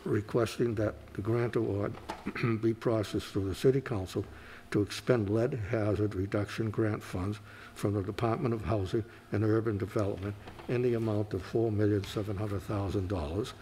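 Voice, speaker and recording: A man with a lowish voice reads council agenda items aloud in a steady, formal cadence. He is in a council chamber, with a faint hum of noise behind him. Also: moderate pace. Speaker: city clerk.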